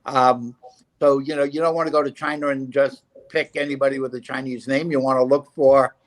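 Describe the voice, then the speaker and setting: A man's voice: mid-range voice, little pitch movement, fairly quick. A man answering as a film industry panelist, confident and advisory with a few fillers.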